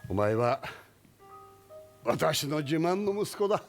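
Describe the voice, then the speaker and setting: A man's voice: on the high side, very animated, moderate pace, soft background music. A man playing a grave, tender father speaking to his son in a stage drama. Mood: solemn, tender.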